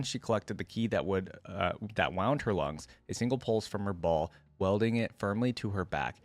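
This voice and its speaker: A man's voice: fairly low pitch, no echo. A man hosting a reaction video, reading a story aloud and commenting on it casually.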